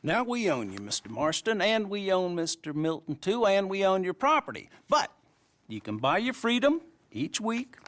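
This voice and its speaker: An actor playing a smug small-town banker in an Old West video game, with an American accent and a wry, teasing, patronizing manner. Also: male voice, high voice, wildly swooping pitch, normal speed, a bit bright, full character voice. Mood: teasing, gleeful. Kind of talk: video game western acting.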